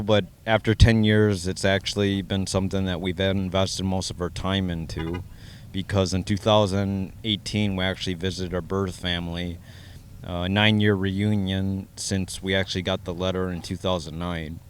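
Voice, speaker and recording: An American man giving measured, personal interview answers in an earnest, slightly formal way. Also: low-pitched, little pitch movement, a little hiss or hum. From Minnesota, United States. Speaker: interviewee sharing his adoption story.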